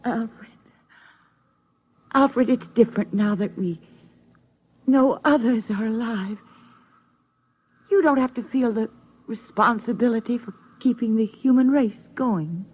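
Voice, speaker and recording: A woman's voice: a little high, slow pace, pauses often, husky. An actress playing an anxious, homely mother in a 1950s radio drama. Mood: consoling. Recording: muffled old radio recording.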